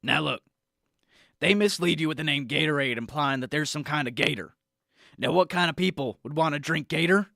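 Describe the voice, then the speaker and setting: A man voicing a brash male news correspondent and supplement salesman who rants loudly and pushily about conspiracies in a comedy radio bit. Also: on the high side, vocal fry, no echo, studio quality, heavily acted. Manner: ranting, loud, pushy.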